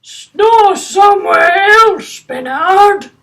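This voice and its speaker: Older woman voicing a high, loud grumpy otter. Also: expressive, extremely slow, recorded from a distance, full character voice. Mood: fed up. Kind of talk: children's storybook character voice.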